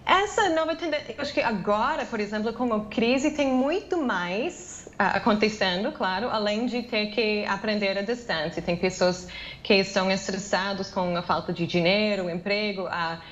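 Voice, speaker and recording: A woman's voice: mid-range voice, moderate pace, dull audio, slight background noise. An American woman whose first language is English, speaking from her home office in a remote news interview, chatty, with many fillers and restarts. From United States. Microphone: video call.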